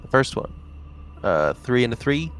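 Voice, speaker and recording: A man's voice: medium pitch, very animated, normal speed, a bit bright, slight background noise. A man chatting casually as a player in a tabletop roleplaying game.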